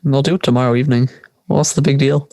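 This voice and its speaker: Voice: medium pitch, fairly even intonation, fast talker, dark tone, dry recording, lightly acted. A teenage performer playing a carefree, teasing school friend in conversational audio drama dialogue. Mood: breezy. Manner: laid-back, teasing.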